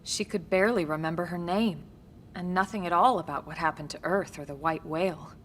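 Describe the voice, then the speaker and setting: An actress playing a composed, dutiful military officer in a video game cutscene, calm, serious and measured. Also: female voice, lowish voice, a little hiss or hum, close to an everyday voice. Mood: measured, concerned.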